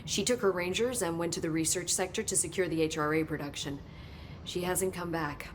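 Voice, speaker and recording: A woman's voice: on the low side, fairly quick, slight background noise, lightly acted. A woman playing an eager, talkative research scientist in a video game, explaining technical details keenly. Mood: intent.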